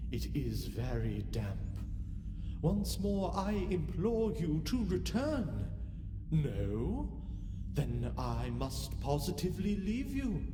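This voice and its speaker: A man playing a coldly mocking nobleman murderer with measured, falsely solicitous courtesy in an audio horror story. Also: mid-range voice, voice pushed up. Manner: measured, mock-courteous, theatrical. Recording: distant echoing vault reverb under music.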